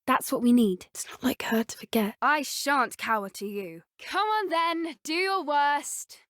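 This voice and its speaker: Game role: a polite, beast-loving British schoolgirl witch, earnest and high-pitched, recorded close and dry. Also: expressive, unhurried, fairly bright tone, dry recording. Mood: hushed, uneasy, then defiant, heated. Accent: British English. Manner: polite, well-spoken, earnest. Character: earnest, gentle schoolgirl witch who loves magical beasts. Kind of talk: scripted video game voice acting.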